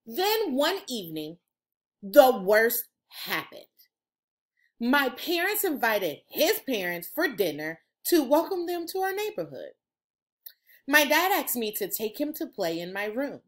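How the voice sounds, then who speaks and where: A middle-aged woman reading a children's storybook aloud like a teacher, with dramatic, drawn-out emphasis. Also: on the high side, sing-song, fairly bright tone. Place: room at home. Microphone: video call, computer at desk distance.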